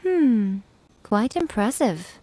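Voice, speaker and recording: A refined, teasing shrine priestess from a video game. Her voice is a little high, languid and amused, with faint noise behind. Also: very animated, takes it slowly, mellow. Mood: amused curiosity. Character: poised, playful shrine priestess, teasingly curious. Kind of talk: video game character voice acting.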